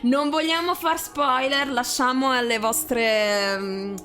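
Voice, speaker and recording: A woman's voice: high voice, fairly even intonation, slow, a loud crowd. A woman chatting casually and jokingly as co-host of a livestream. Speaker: co-host of an amateur live reading stream. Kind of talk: casual group chat on a livestream. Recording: music playing behind the voice. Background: music.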